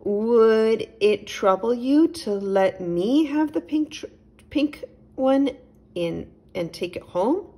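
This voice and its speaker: A gentle chipmunk friend played by a woman in a storybook, patient and reassuring. His voice is a little raised and husky, with an inquisitive lilt. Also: fairly high pitch, lively intonation, takes it slowly, pitched above the performer's own voice, exaggerated voice. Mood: gentle, friendly. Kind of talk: children's storybook character voice.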